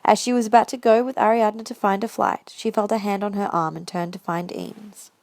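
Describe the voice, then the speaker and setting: A woman's voice: mid-range voice, fairly even intonation, brisk, a little mellow, no echo, clean studio sound. A woman reading scripted story narration as the narrator of an audio drama.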